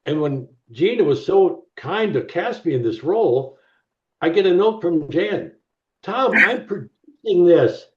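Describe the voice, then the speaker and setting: A man's voice: sits high, lively intonation. A middle-aged man, an actor, chatting casually in an interview and often repeating words as he gets to his point. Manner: halting, repeats words as he talks. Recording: roomy, distant, dull call audio.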